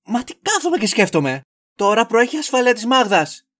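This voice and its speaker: A man's voice: very high voice, sing-song, a bit bright, heavily acted. A man playing an exasperated but caring and protective young man in an amateur audio drama, grumbling earnestly. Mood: frantic self-talk.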